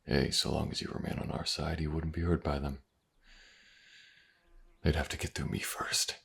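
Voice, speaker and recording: A man playing a gruff, guarded but protective mercenary in a fantasy audio roleplay, halting and dry in his delivery. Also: level tone, fairly bright tone, exaggerated voice. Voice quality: very deep gravelly husky.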